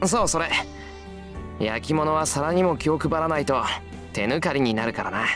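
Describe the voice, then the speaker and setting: An earnest teenage schoolboy in an anime visual novel, blunt and protective of his friend. His delivery is quick and very animated in pitch, with music playing behind. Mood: matter-of-fact.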